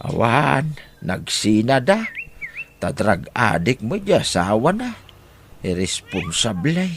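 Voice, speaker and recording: A man's voice: medium pitch, big pitch swings, slow pace. A man playing a humble older farmer and grandfather, talking deferentially in an audio drama. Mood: worried, humble. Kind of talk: conversational audio drama acting. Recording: clearly noisy background.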